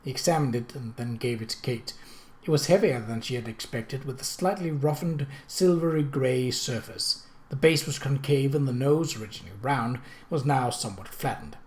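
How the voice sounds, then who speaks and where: A man reading a period mystery novel aloud as audiobook narrator, with a measured storytelling delivery. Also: medium pitch, darkish tone.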